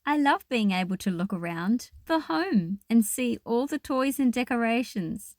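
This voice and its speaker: A woman's voice: on the high side, lively intonation, measured pace, no echo, clean studio sound. A woman reading a children's picture book aloud expressively, with explanatory asides to young listeners.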